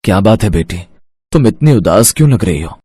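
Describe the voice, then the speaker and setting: A man playing a kind, wise middle-aged village father who drives an auto, speaking gently and reassuringly in a cartoon story. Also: lowish voice, big pitch swings, fairly quick, husky, no echo, clean studio sound. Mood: gentle, concerned.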